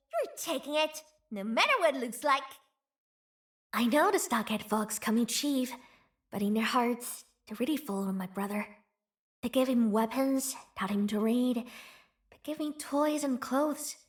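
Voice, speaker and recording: Proud young feline village chief, high lively game voice, playful and bossy. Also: female voice. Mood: earnest. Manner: bouncy, teasing, quick to laugh. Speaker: game character voice. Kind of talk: video game voice lines.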